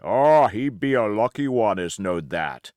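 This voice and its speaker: A rough buccaneer acted out by a male reader, growling and insolent, in a storybook adventure. The delivery is coarse and lifted well above a calm narrating tone, with a very dry sound. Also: unhurried, dry recording. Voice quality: deep growl. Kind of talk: audiobook character acting.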